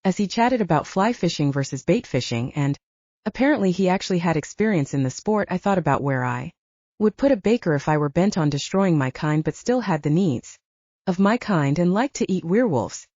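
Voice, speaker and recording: A woman with a lower-pitched, mellow voice narrates an audiobook in the first person, her pitch moving a lot as she tells the story. The sound is dry and a little dull, like a lo-fi home recording. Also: animated, a little fast, no echo.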